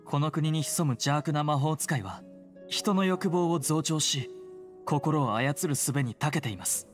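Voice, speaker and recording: A remorseful monster hunter from an anime game, speaks in a lowish voice. The delivery is earnest, formal and hesitant, over faint music. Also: female voice, a little slow. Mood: solemn confession. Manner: hesitant, polite, solemnly apologetic. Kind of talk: Japanese anime game voice acting. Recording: music behind the voice.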